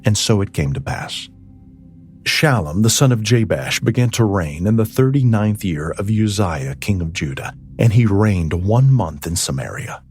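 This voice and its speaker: A man narrating scripture as a scripted audiobook reading, with measured, solemn phrasing. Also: lowish voice, lively intonation, a little mellow, husky. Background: ambient music.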